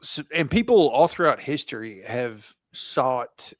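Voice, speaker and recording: A man co-hosting a podcast who reads through his research notes and keeps dropping in offhand jokes. His voice sits at an ordinary pitch, and it is recorded close with a dry, roomless sound. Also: big pitch swings, unhurried, no echo. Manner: jokey, reads notes aloud with asides. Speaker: podcast co-host. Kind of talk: casual chat reading from notes.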